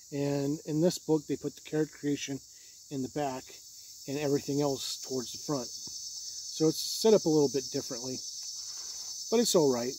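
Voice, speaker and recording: A man's voice: a little high, dark tone, a little hiss or hum, poor audio. A man giving an informal, unscripted review in a plain-spoken, matter-of-fact way. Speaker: tabletop game reviewer.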